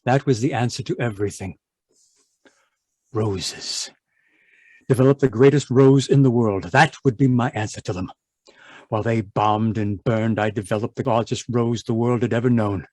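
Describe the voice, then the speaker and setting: A man playing obsessed scientist, brooding, domineering, guilt-ridden, medium pitch, radio horror drama, close dry recording. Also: normal speed, darkish tone, dry recording, natural voice. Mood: haunted, brooding. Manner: imperious, clipped outbursts and haunted muttering. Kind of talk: old-time radio horror acting.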